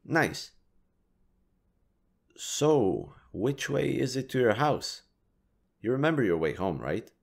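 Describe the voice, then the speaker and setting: A man's voice: medium pitch, sing-song, takes it slowly, gravelly, pauses often, heavily acted. A man acting a kind, teasing young stranger who guides a lost girl, speaking casually to the listener in an intimate roleplay audio drama. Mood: gentle, guiding. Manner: casual, playfully teasing, mildly awkward, swearing.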